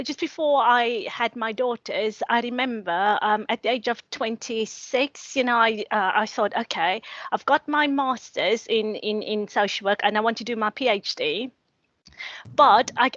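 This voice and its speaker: A woman's voice: on the high side, a bit bright, no room sound, lo-fi sound. A middle-aged woman with a South African accent, speaking earnestly and reflectively as a panelist, often restarting her sentences. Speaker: panelist, lecturer. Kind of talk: panel discussion on video call.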